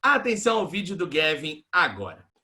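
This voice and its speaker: A man's voice: a little high, sing-song, normal speed, pushed and bright, a little hiss or hum. A Brazilian man teaching English in a recorded online class, explaining translations patiently and often checking in with the students.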